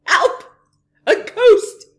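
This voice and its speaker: A woman voicing a frightened, whining robber from a children's picture book, wailing dramatically. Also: drawn-out words. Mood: panicked wailing. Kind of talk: storybook character voice.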